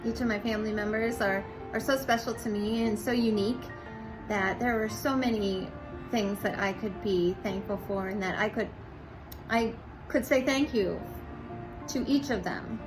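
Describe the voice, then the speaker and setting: A woman's voice: mid-range voice, moderate pace, over music, poor audio. A woman reading a storybook aloud and chatting casually to young viewers and families. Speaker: teacher reading aloud to children.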